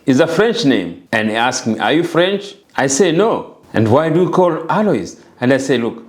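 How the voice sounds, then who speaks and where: A man's voice: medium pitch, sing-song, moderate pace. A middle-aged man from Rwanda giving an earnest, emphatic personal message straight to the viewer and repeating his phrases for weight. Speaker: vlogger.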